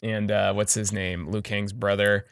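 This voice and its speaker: A man's voice: on the low side, little pitch movement, a little slow, gravelly, a bit bright, a little hiss or hum. A man co-hosting a review show in casual, jokey banter with some swearing. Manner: profane, jokey. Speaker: co-host of a movie review show.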